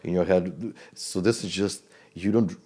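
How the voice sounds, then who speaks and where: A man from Serbia speaks English with a Serbian accent in a very low, deep register. He talks loosely and casually, restarting sentences and trailing off as he goes. It sounds like a relaxed interview chat. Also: measured pace, slightly rough. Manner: loose, rambling talk with restarts and broken phrasing.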